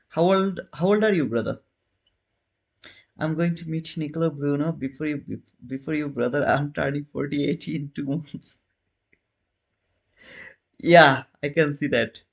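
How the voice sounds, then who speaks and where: A man's voice: fairly high pitch, a little slow, gravelly, long pauses. Chatty man hosting a trading livestream. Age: 30s. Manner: chatty, reads and answers viewer chat while trading; non-native phrasing, frequent restarts. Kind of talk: casual live-stream commentary.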